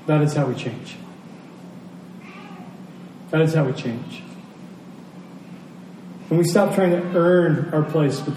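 Male pastor, high-pitched and mellow, delivering an earnest sermon with deliberate repetition. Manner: earnest, repeats key phrases for emphasis. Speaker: pastor preaching. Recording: roomy, distant pickup with faint noise.

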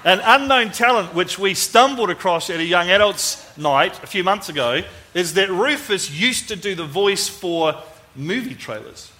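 A male preacher with a high, forceful voice addresses his congregation. He is informal and enthusiastic, mixing conversational teaching with jokes and questions to the listeners. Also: expressive, normal speed. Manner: enthusiastic, joking, asks the audience questions. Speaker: preacher speaking to a church congregation. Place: speaking live to a gathering at church.

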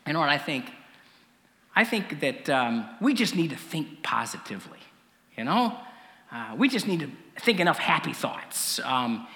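A man's voice: fairly high pitch, big pitch swings, moderate pace, bright, forceful tone, in a large hall, exaggerated voice. A man voicing an earnest, hopeful elementary school boy who ponders hesitantly and repeats himself.

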